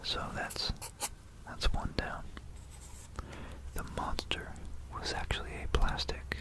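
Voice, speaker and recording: Asmr history storyteller, all breathy whispering, really slow, repeats bits softly, right up on a stereo mic. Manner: unhurried, pausing, repeating phrases softly. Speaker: ASMR video host. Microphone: close stereo binaural microphone.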